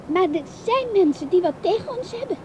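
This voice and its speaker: A very high, soft-toned child's voice in a radio play. The child is frank and affectionate, with faint noise and rumble behind. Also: animated, fairly quick, muffled recording. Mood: earnest, probing. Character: young child, frank, affectionate grandchild walking with grandpa. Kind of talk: Dutch radio play acting.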